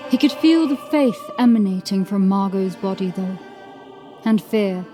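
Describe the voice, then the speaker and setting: A woman reading scripted horror fiction as narrator, in a dramatic, measured storytelling manner. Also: medium pitch, animated. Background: music.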